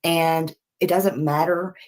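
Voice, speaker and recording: A woman with a Southern US accent and a distinctly low voice. She chats casually as a livestream host, rambling in a folksy, polite manner as she addresses her viewers. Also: steady tone, takes it slowly, a little mellow. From Nashville, United States.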